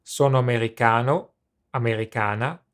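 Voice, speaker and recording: A man reading out example phrases for a language lesson, slowly and with careful, distinct pronunciation. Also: medium pitch, steady tone, a bit bright.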